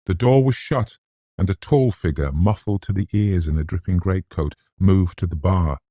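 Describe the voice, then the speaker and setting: A man with a British accent narrating a gothic story in a scholarly, measured, formal manner, like a classic audiobook. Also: sits low, mellow, no echo, clean studio sound.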